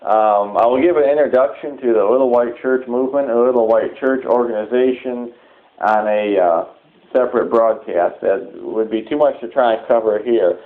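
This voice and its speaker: Male organization leader, mid-range voice, formal and deliberate announcement delivery, thin telephone-grade recording. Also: medium pitch. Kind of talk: public address, semi-scripted announcement. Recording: narrow, phone-like sound.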